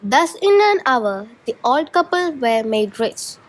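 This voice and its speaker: A female student storyteller with a fairly high voice. She reads a scripted tale as the narrator of a school production. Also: very animated, measured pace, poor audio.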